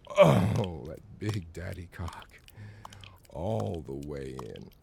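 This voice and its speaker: A man speaking an intimate roleplay monologue in slow, husky half-whispers, with soft sighs and moans between phrases. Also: low voice, animated, slight background noise. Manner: murmuring, sighing and moaning softly. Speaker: solo audio roleplay performer.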